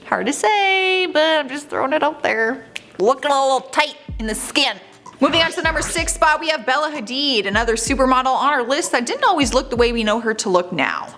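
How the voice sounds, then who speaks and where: A young woman with a fairly high voice hosts a celebrity countdown, mixing scripted reading with chatty, candid asides. Light music plays faintly underneath. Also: a little fast. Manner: chatty, candid asides, lively swoops in pitch. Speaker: host.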